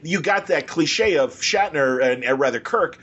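A man's voice: a little high, expressive, measured pace, a bit bright, muffled recording. A man hosting a podcast, chatting casually about films in an enthusiastic, rambling way and slipping into imagined quotes. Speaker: podcast host.

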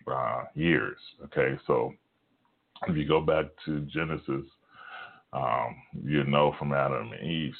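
Very deep, gravelly hushed preacher man. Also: phone-quality sound. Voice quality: very deep, rumbling voice. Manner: hushed, halting talk with many fillers. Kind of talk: informal home sermon. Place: home at night, son in next room.

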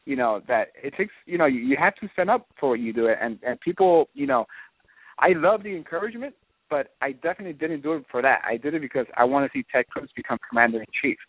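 Phone-in political guest, man, brisk earnest explainer, narrow dry line. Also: medium pitch, expressive, breathy edge, no echo. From Miami, born in Puerto Rico. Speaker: guest, local party vice chairman and political campaign surrogate. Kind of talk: political radio interview.

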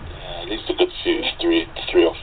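A man's voice: low-pitched, completely flat delivery, normal speed. A man chatting casually as a voice actor, hesitant and apologetic in the way he talks. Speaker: voice actor in a radio drama group. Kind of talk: casual chat. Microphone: internet call. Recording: tinny phone-like line, faint noise.

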